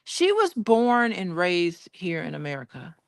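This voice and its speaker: A woman in casual political chat who jumps in with lively questions. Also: fairly low pitch, unhurried, gravelly, dry recording, clean studio sound. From Illinois.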